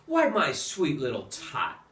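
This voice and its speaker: A man's voice: on the high side, huge pitch swings, takes it slowly, bright, forceful tone, full character voice. A man voicing a sly, mean old creature, gleeful and scheming, in a children's storybook reading. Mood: falsely sweet. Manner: gloating, scheming. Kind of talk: children's storybook read-aloud. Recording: roomy echo, distant microphone.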